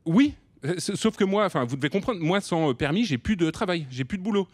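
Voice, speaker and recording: An actor playing a sheepish, self-justifying delivery rider in a radio drama, with a hesitant, stammering way of talking. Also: male voice, sits high, animated, quick pace, no echo. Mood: flustered, defensive. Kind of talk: radio drama, naturalistic confession.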